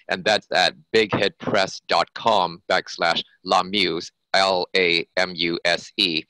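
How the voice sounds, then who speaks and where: Male author guest, low-pitched with a bright edge, explanatory conversational answers, dry recording. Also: dry recording, rough recording. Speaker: author being interviewed as a guest.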